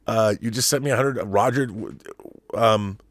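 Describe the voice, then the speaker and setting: A middle-aged man hosting a casual livestream, talking emphatically and haltingly, often repeating words and rephrasing as he thinks aloud. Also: on the low side, no echo. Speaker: livestream host. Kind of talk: casual live chat answering viewer messages.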